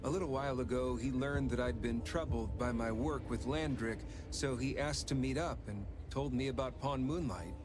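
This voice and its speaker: A man's voice: medium pitch, normal speed, hardly any pauses, close to an everyday voice. A man playing an earnest perfumer who explains himself candidly in an anime-style game. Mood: candid, explaining. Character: earnest, confessional rescued male perfumer. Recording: loud music under the voice.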